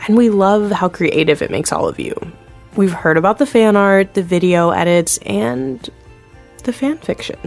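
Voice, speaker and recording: An actress playing a friendly producer guest in an audio drama, her voice pitched mid-range and her chat easy, with a giggle and a conspiratorial tone. Light music plays behind her. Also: moderate pace, gravelly, darkish tone, close to an everyday voice.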